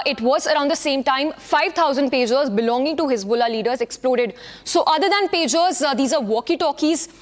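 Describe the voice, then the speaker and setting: High-voiced woman anchoring live news. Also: in a large hall. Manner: hesitant, many fillers and restarts. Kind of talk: live news bulletin, ad-libbed.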